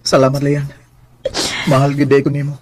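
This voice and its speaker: A man's voice: mid-range voice, completely flat delivery, moderate pace, a little mellow, no echo, lightly acted. A man playing an earnest, devoted young boyfriend in a radio drama, with a Cebuano Filipino accent and a pleading manner. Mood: tender.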